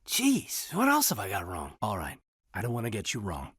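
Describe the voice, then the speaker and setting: A man acting in a video game as an earnest, loyal military officer, reserved and thoughtful, with a husky voice and a sincere, measured delivery. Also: on the low side, huge pitch swings, fairly bright tone, no room sound, clean studio sound, full character voice. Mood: hurt, confused.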